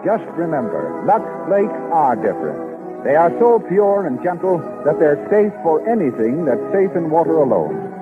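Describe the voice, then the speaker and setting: A man's voice: a little high, huge pitch swings, moderate pace. An American man announcing a 1930s radio show and its sponsor from a script. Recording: tinny 1930s radio recording with music.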